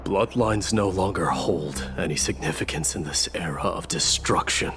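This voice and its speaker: An actor playing a proud, brooding young warrior prince in a video game, speaking in an earnest, solemn, formal way. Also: male voice, low-pitched, normal speed, over music. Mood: grave, hushed. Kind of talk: dramatic video game acting.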